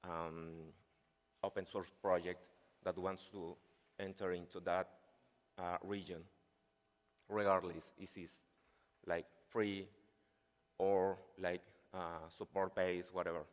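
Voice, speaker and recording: Deep-voiced Chilean man giving a conference talk. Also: flat delivery, takes it slowly, pauses often. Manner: hesitant, many fillers like "like" and "uh". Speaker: conference speaker. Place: large conference hall. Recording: reverberant hall sound.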